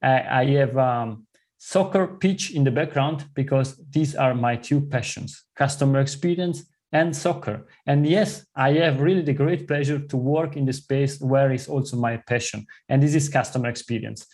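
A man's voice: mid-range voice, normal speed. Swiss man with an Italian accent. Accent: Italian-speaking Swiss. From southern Switzerland. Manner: earnest, warm, slightly non-native phrasing. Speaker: podcast guest. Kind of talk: conversational interview.

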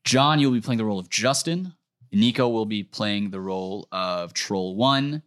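A man's voice: on the low side, normal speed, fairly bright tone. A man hosting a comedy table read, narrating stage directions in a casual, chatty way. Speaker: podcast host reading stage directions.

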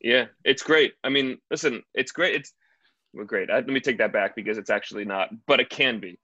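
A man who works as a stand-up comedian, chatting casually in an interview and telling stories with quick asides. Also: mid-range voice, no echo, lo-fi sound. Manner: animated storyteller, quick asides.

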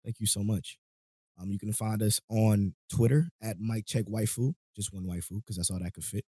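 Male podcast host, lowish voice, relaxed and rambling, slightly worn from a cold, dry close sound. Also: normal speed, slightly rough, no echo. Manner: laid-back, rambling, laughs often. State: voice going, catching a cold. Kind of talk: casual podcast chat.

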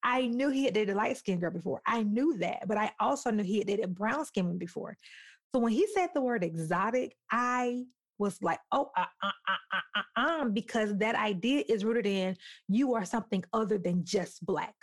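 A young adult woman talking candidly on a podcast, telling stories in an animated, emphatic way. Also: mid-range voice, moderate pace.

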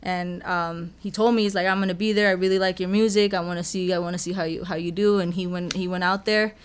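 A woman's voice: fairly low pitch, fairly even intonation, quick pace, clean tone. A young woman from Mexico, a rapper, talking earnestly and humbly as a podcast guest, often repeating her words. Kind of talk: casual podcast conversation. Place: podcast studio.

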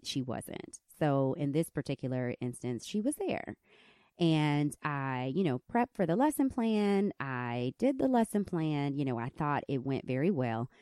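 A woman's voice: clean tone, no room sound, clean studio sound. Candid woman podcast host with country accent. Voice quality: squeaky, high voice. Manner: candid, animated storytelling with laughs. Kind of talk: personal reflective podcast monologue.

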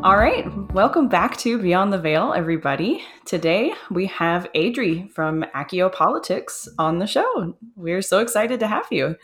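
A woman's voice: mid-range voice, sing-song, moderate pace, few pauses, a bit bright, slightly rough, soft background music. A woman hosting a podcast, introducing a guest and asking questions in a friendly, upbeat way. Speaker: podcast host.

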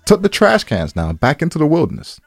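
A man's voice: a little high, huge pitch swings, a little fast, creaky, slightly rough, dry recording, studio quality. A man co-hosting a podcast, telling stories casually in slangy speech and repeating phrases for emphasis. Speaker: podcast co-host. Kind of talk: casual banter and storytelling.

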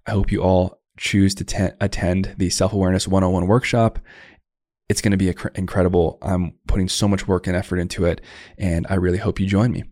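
Young guy hosting a podcast, low voice, dry sound, super enthusiastic. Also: little pitch movement, fairly quick, darkish tone, dry recording. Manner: enthusiastic, effusive praise. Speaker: podcast host. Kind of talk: podcast ad read and interview.

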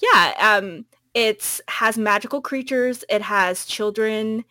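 A woman's voice: on the high side, slow pace, bright, forceful tone, no echo, studio quality. A woman co-hosting a podcast, chatting casually about a book she recommends, with many ums and likes and frequent laughs. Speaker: podcast co-host.

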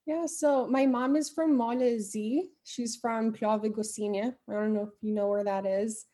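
A young American woman talks as a podcast guest. Her voice is a little high, and she tells stories in an upbeat, easygoing way. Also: fairly even intonation, moderate pace, dark tone. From United States. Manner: upbeat, laughs often while telling stories. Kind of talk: casual interview chat.

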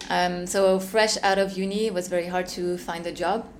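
A young woman from Switzerland, a company co-founder, answering interview questions in a soft, relaxed way. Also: fairly low pitch, moderate pace, slight background noise.

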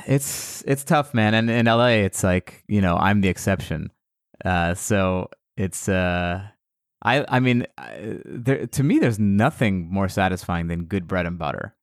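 Casual podcast guest, American man, lowish voice, dry close sound, rambling storyteller. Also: a little slow, no echo. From United States. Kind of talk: casual chat.

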